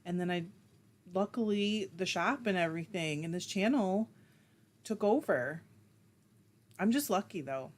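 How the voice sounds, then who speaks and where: A woman's voice: lowish voice, animated, measured pace, long pauses. A woman hosting a casual livestream, reading viewer comments aloud and chatting back in a self-deprecating way, laughing often. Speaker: livestream host.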